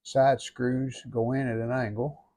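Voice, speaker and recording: A man's voice: medium pitch, flat delivery, unhurried, mellow. A man talking casually through hands-on restoration work, in short, plain-spoken remarks as he goes. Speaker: vlogger restoring an antique radio. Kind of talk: casual hands-on workshop narration.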